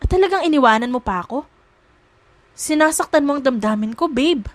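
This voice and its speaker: A woman's voice: sits high, lively intonation, fairly quick, dry recording. Woman reading an insistent, lovestruck young male boss. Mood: hurt, tender. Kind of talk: romance audio drama dialogue.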